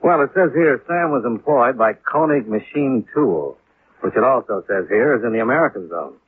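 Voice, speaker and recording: A man's voice: medium pitch, lively intonation, moderate pace, breathy edge, no echo. An actor playing a wry, self-assured male insurance investigator in a 1950s radio drama. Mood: probing. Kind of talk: 1950s radio detective acting with clipped first-person narration. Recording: old 1950s radio recording, muffled, faint noise.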